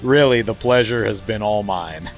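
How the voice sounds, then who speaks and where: A man voicing a huge, folksy villain businessman in a comic script reading, with a low drawl and a hollow, menacing manner. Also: moderate pace, soft background music, close to an everyday voice. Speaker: podcast host.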